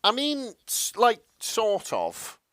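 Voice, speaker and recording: A man's voice: high voice, huge pitch swings, slow pace, fairly bright tone, dry recording, clean studio sound. A man co-hosting a podcast in casual banter, reacting wryly and swearing now and then. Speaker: podcast co-host.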